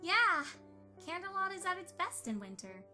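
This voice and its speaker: A woman's voice: extremely high pitch, very animated, moderate pace, pushed and bright, faint music behind, heavily acted. A woman playing an earnest, bookish young pony mother in an amateur audio drama. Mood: warm, reassuring.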